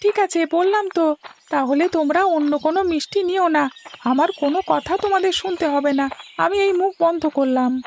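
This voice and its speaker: A woman's voice: very high-pitched, normal speed, darkish tone, slight background noise. A woman voicing an eager, chatty young schoolboy in a cartoon, pleading and petulant. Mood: sulky. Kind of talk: Bengali cartoon story dialogue. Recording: music behind the voice.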